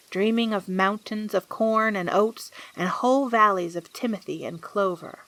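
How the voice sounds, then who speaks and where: Lady reading an old story as narrator, measured delivery, mid-range voice, noisy background. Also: medium pitch. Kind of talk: scripted literary narration for audio drama. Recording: clearly noisy background with clicks.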